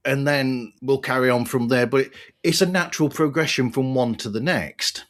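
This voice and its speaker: A man hosting a podcast, talking in an earnest, measured, conversational way as he reviews and interviews. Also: mid-range voice, a bit bright. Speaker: podcast host.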